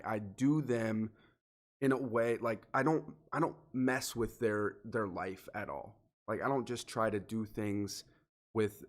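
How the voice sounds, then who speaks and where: A man hosting a solo motivational podcast, talking earnestly and directly to the listener, a little sniffly and congested from allergies. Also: medium pitch. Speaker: podcast host.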